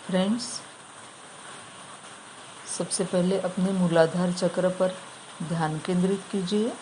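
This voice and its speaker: A woman leading a guided meditation, giving instructions in short, measured phrases with long pauses between them. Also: lowish voice, fairly even intonation, warm tone, slight background noise, poor audio.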